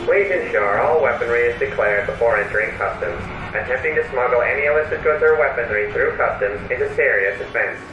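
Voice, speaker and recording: A man's voice: mid-range voice, a little fast, hardly any pauses, close to an everyday voice. Man acting a distant, echoing announcer. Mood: official. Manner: formal, impersonal. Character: impersonal male customs public-address announcer. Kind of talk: scripted announcement in audio drama. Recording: distant, echoey, noisy announcement.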